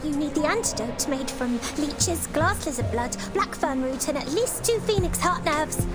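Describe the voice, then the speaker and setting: A woman voicing a bright, excitable young girl in a video game, with a girlish, exclaiming delivery. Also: moderate pace, over loud music. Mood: chirpy, concerned. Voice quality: high, girlish. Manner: animated, exclaiming.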